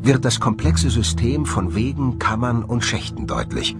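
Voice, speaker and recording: A man presenting a documentary in scripted narration, with measured, rhetorical, emphatic phrasing. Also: medium pitch, lively intonation, over loud music.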